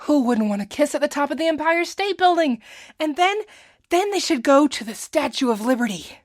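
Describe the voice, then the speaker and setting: A woman's voice: high voice, lively intonation, a little fast, no echo. Bossy meddling romantic lady, emphatic, dry sound, radio comedy style. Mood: eager, scheming. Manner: emphatic, insistent, scheming. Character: bossy, over-eager romantic meddling woman. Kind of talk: romantic comedy audio drama acting.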